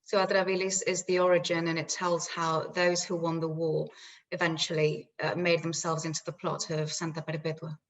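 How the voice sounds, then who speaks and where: A woman interpreting for a panel guest, summarising answers in measured phrases. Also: deep voice, level tone. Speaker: interpreter at a post-show Q&A. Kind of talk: consecutive interpreting, explanatory summary.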